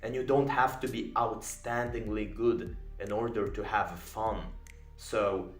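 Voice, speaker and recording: A man's voice: lowish voice, steady tone, unhurried, reverberant, soft background music. A young man whose first language is Polish, giving an earnest, emphatic self-improvement commentary. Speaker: video essayist.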